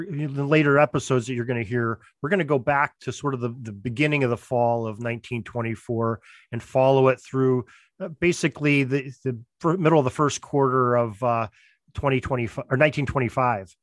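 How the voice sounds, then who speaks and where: A man's voice: medium pitch, no echo. A man in an interview explaining things casually as a producer, repeating words as he builds each point. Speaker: podcast drama producer.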